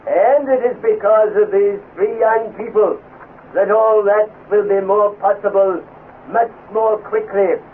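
An actor playing a solemn, formal Prime Minister giving an oratorical tribute in a 1950s radio drama. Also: male voice, high voice, steady tone, measured pace, narrow, tinny audio. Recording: distant, thin, noisy old radio recording.

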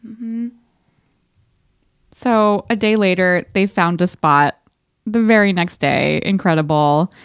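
A woman co-hosting a podcast, chatting casually and reading aloud from a diary in an expressive, playful way. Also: on the low side, takes it slowly, long pauses, clean tone, no echo, clean studio sound. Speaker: podcast co-host.

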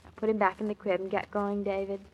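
A woman's voice: mid-range voice, little pitch movement, breathy edge, lo-fi sound, natural voice. An actress playing a playful, fussy young wife and new mother in a 1940s radio drama, teasing as she scolds. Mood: playful scolding. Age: young adult. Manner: teasing, fussy, quick to banter.

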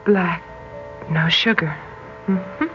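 An actress playing a gentle, earnest young woman full of quiet wonder in a 1960s radio drama. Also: lowish voice, extremely slow, faint music behind. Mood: dreamy wonder. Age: 20s. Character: tender, intuitive young waitress, strangely drawn to a stranger. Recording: dull lo-fi vintage radio recording.

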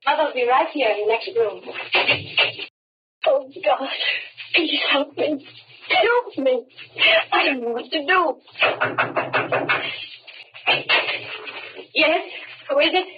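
Anxious pleading wife, high voice, breathless melodrama, old radio, dull recording. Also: female voice, animated, unhurried, long pauses, recorded from a distance, slight background noise. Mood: desperate. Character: distraught, pleading young wife of condemned man. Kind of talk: 1930s radio drama acting.

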